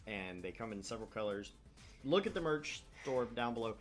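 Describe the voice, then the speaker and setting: A man's voice: fairly low pitch, expressive, a little fast. Casual male stream host over background music. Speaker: host of a gaming livestream. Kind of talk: casual stream chat and promotion.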